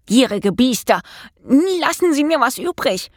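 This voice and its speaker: Storybook mouse, young male, gleeful and exuberant, high-pitched, relishes every chant; played by a woman. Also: very animated, a little slow, dry recording, studio quality, full character voice. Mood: mischievous. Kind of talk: children's storybook character reading.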